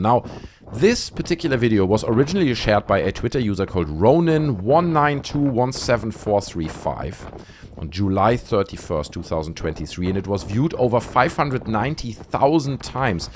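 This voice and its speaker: Low-voiced male host with very animated intonation. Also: normal speed, slight background noise. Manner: conversational, frequent tag questions like "okay" and "right". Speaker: YouTube host. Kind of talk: casual video commentary debunking clips.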